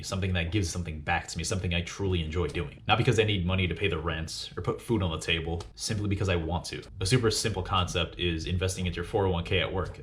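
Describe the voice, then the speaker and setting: A man's voice: low-pitched, fairly bright tone, slight background noise. A man in his twenties hosting a personal finance video, explaining tips to viewers in a casual, instructive, emphatic way. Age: 20s. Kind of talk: explanatory list-style talk to viewers.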